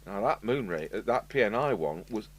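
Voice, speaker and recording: A man's voice: on the low side, moderate pace. An English man hosting a casual, unscripted repair video, rambling chattily with asides as he demonstrates. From United Kingdom. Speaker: video host and radio repairer. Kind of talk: casual unscripted talk to camera while demonstrating. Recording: steady hum and background noise.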